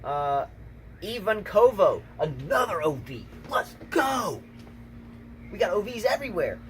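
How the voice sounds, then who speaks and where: Excitable man chatting outdoors in wind. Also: high-pitched, unhurried. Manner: emphatic, repeats himself, excitable. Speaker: tennis channel co-host, coach. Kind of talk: casual outdoor chat. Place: outdoors, windy. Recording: noisy outdoor recording, wind.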